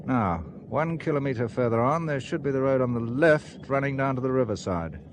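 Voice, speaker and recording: A man acting a determined spy in a radio thriller. His mid-pitched voice is very animated, brisk and wary, with some faint noise around it. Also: natural voice. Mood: tense, watchful. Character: alert, determined male spy, turning tough under pressure.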